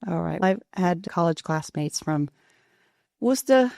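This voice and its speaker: A woman's voice: lowish voice, lively intonation, a little slow, vocal fry, a little mellow, no room sound, studio quality. A woman from Pennsylvania hosting a podcast, asking curious questions and laughing easily as she chats. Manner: friendly, curious interviewer who laughs often. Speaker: podcast host. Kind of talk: casual interview talk.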